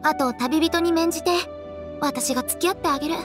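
A woman's voice: high voice, fairly quick, lightly acted. A woman playing a playful, shrewd young funeral director in an anime game, with teasing, sing-song, probing delivery. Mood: sly. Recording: background music under voice.

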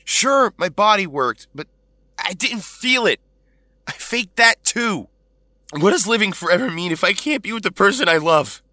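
A man's voice: high voice, animated, moderate pace, bright, forceful tone, dry recording, full character voice. A man playing an anguished, earnest teenage hero in an audio drama, pleading as he talks. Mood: troubled.

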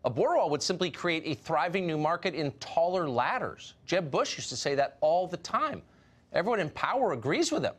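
A sardonic man in his forties hosts a news program, his pitch swinging widely as he mocks his targets. He speaks from a studio on a lapel mic with a clean, close sound. He also questions a guest in the same sharp tone. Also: sing-song, a little fast. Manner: mocking, sardonic delivery. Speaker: news host. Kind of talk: sarcastic scripted monologue.

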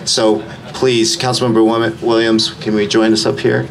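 A man's voice: on the low side, flat delivery, moderate pace, gravelly. An American man co-hosting a public event, speaking into a stage microphone at an outdoor festival. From Sacramento, California. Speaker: event co-host and local official. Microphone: stage microphone through PA speakers. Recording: noisy outdoor PA sound.

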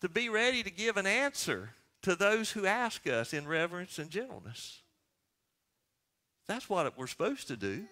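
A man's voice: sits high, sing-song, moderate pace, bright, forceful tone. A man in his sixties preaching a sermon as pastor, speaking emphatically and posing questions that he answers himself. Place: church sanctuary.

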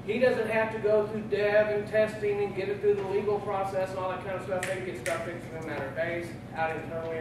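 A middle-aged man giving a casual technical presentation to an audience, often checking in with "right?". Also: high-pitched. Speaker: presenter at a user group meeting. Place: large meeting room with audience. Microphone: room recording from a far camera. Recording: very echoey room, distant, noisy.

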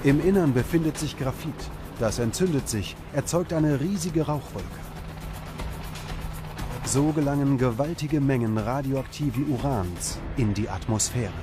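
A man reading scripted documentary narration in a measured, dramatic manner. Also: mid-range voice. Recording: music behind the voice. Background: background music.

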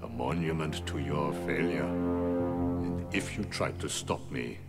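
A menacing game mastermind with a very low, creaky voice. He is condescending and deliberate, yet his pitch swings dramatically. Loud music plays behind him. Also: full character voice. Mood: gloating menace. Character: sinister, controlling male villain, gloating prison warden. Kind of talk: video game cutscene acting.

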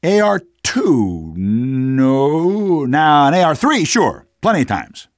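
An actor playing a cocky, stubborn rebel fighter in a video game, casual, streetwise and gravelly. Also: male voice, fairly high pitch, big pitch swings, slow, no echo, studio quality, exaggerated voice. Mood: smug, stubborn.

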